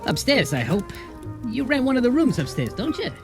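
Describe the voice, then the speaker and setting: An actor playing a wry, slangy goblin bartender in a noir audio drama, familiar and streetwise in manner. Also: male voice, extremely high pitch, very animated, normal speed, full character voice. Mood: sly, knowing. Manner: slangy, familiar, calling people kid. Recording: music playing behind the voice. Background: music.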